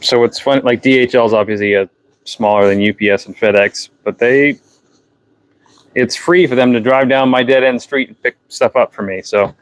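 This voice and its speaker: A man's voice: medium pitch, steady tone, moderate pace, dry recording. Chatty New Hampshire man packing orders. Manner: rambling, chatty, self-correcting. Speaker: livestream host. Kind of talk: casual livestream chat while packing orders.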